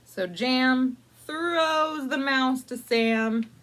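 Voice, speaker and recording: A woman's voice: high voice, fairly bright tone. A woman narrating a picture book in a casual, improvised storytime style, with playful, wry asides and thinking aloud as she goes. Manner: playful, wry asides with mock-dramatic commitment; conversational, frequently pausing to think aloud. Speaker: library storyteller reading a picture book to adults.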